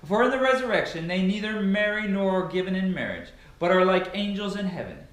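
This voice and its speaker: A man's voice: sits high, sing-song, a little fast, fairly bright tone. A man giving a solemn, declamatory dramatic reading of scripture as an amateur reader. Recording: very echoey, reverberant room.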